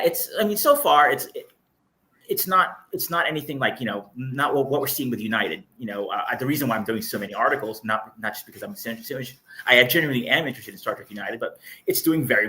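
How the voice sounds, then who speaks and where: A man's voice: medium pitch, bright, forceful tone. A man giving his opinions casually as a guest on a livestream panel. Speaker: panel guest, entertainment journalist.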